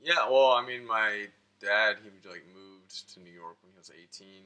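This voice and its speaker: Man, American accent, low bright forceful voice, casual interview guest, rambling informal delivery. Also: normal speed, clean tone. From United States (Florida and Georgia).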